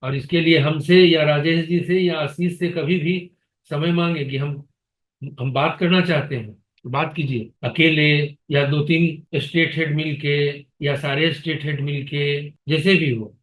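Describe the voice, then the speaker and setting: A man's voice: fairly high pitch, steady tone, unhurried, fairly bright tone, low-quality recording. A man chairing a staff conference call in an authoritative, admonishing, questioning manner. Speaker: meeting chair, foundation leader.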